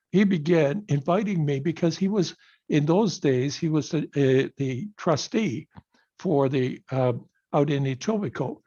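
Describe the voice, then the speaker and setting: An older Canadian man speaks in a slightly higher register, with a husky edge to his voice. He tells his story in an earnest, measured way. The sound is dull and lo-fi, as if coming through a video call. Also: darkish tone. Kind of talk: personal speech on a video call.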